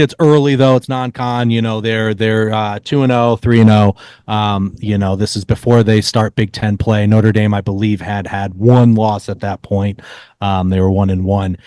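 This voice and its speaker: A man's voice: fairly low pitch, fairly even intonation, normal speed, darkish tone, clear, resonant tone, no room sound. Man casually telling stories, dry close sound. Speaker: podcast co-host. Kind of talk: casual sports storytelling.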